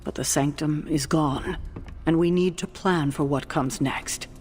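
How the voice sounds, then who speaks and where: An actress playing a stern, resolute female leader in a video game, measured and commanding. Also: low-pitched, steady tone, a little mellow, soft background music. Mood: firm, resolute. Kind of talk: dramatic video game acting.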